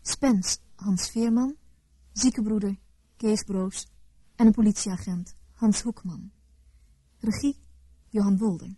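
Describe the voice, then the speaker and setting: Slow, soft-toned woman announcer. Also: mid-range voice, breathy edge, dry recording, low-quality recording. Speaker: announcer reading radio play credits. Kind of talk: formal scripted announcement.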